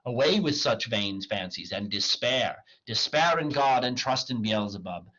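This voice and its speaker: A man's voice: mid-range voice, animated, unhurried, pushed and bright, lo-fi sound. A man voicing a proud, doomed scholar-conjurer in an Elizabethan tragedy, grand and declamatory. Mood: tormented.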